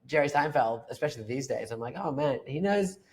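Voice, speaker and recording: A man's voice: medium pitch, expressive, brisk. A man, a painter guesting on a podcast, talking casually and rambling with many fillers. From Australia. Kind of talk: casual interview talk.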